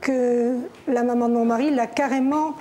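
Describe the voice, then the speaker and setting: A middle-aged woman from Algeria talks as a guest on a TV show, speaking candidly and at a measured pace. Her voice is a little high but warm and mellow. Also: steady tone, a little hiss or hum. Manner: candid, measured telling with pauses. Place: television studio.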